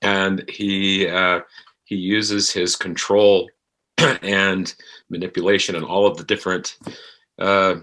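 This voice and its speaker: A man speaking as an interview guest, reflective and halting as he searches for his words. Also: sits low, level tone, pushed and bright. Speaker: podcast guest, actor.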